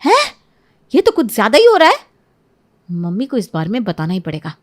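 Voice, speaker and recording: A woman's voice: fairly high pitch, wildly swooping pitch, fairly quick. A woman voicing a jealous, resentful young daughter-in-law in a cartoon story, indignant and complaining. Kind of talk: Hindi cartoon story acting.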